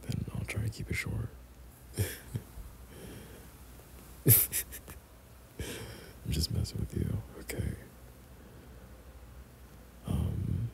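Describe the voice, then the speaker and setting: A young man doing intimate boyfriend roleplay ASMR in a breathy, often whispered voice, speaking tenderly and hesitantly. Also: very deep voice, a little hiss or hum. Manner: tender, hesitant, softly chuckling. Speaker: boyfriend ASMR audio performer.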